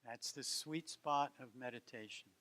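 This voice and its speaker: Male meditation guide, medium pitch, gentle and unhurried, leaves long silences between phrases. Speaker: meditation teacher.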